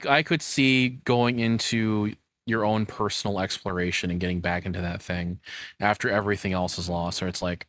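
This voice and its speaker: A man's voice: on the low side, fairly bright tone, no echo, studio quality. A man giving casual, off-the-cuff commentary as a reaction host, blunt and informal, swearing freely.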